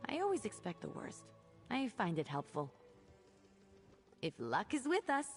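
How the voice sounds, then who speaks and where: Video game companion: eager young female mage, cheeky, animated delivery, music underneath. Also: on the low side. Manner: playful, expressive, chatty. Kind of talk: video game dialogue acting.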